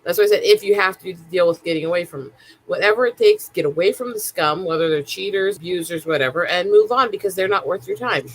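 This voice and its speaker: A woman's voice: on the low side, quick pace, husky, rough recording. A woman in casual livestream chat, talking bluntly and outspokenly.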